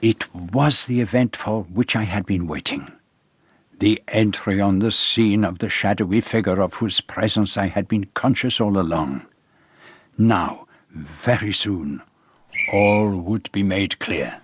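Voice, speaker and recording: A shrewd, fussy detective of a radio play with a French-speaking European accent. His voice is slightly husky, and he speaks in precise, courteous, deliberate phrases. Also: on the low side. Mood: measured, narrating. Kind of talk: radio drama acting and first-person narration.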